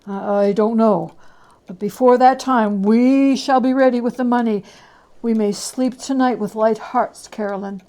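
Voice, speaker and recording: A careworn young husband voiced by a woman speaks in a storybook tale. He is earnest and gently hopeful, with a slow, halting delivery in a fairly high register. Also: steady tone. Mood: relieved.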